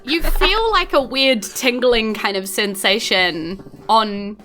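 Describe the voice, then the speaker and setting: A New woman works as game master, narrating casually and feeling her way through the scene. Her voice is high and bright, with faint music behind it. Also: slow.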